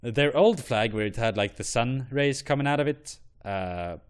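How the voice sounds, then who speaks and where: A man's voice: mid-range voice, expressive, normal speed, clear, resonant tone. A man hosting an online show, giving blunt, profane and rambling opinions. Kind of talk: ranting commentary on flags.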